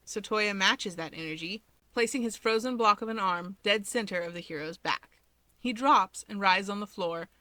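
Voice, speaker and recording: Female story reader, bright crisp tone, close dry recording, expressive scripted narration. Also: mid-range voice, normal speed, dry recording. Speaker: fan fiction reader.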